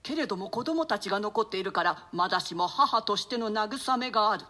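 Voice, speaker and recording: A woman's voice: mid-range voice, animated, brisk, pushed and bright. A woman acting a stern, grieving old duchess and grandmother in a classic stage play, speaking in a theatrical, archaic manner. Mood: grieving lament. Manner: lamenting, theatrical, admonishing.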